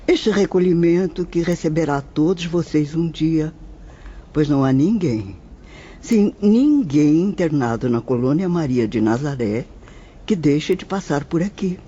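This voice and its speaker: A woman's voice: low-pitched, dark tone, slightly rough, full character voice. A woman playing a serene, motherly elderly nun spirit who welcomes visitors in an audio drama. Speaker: guide at a spirit shelter. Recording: muffled lo-fi audio with music.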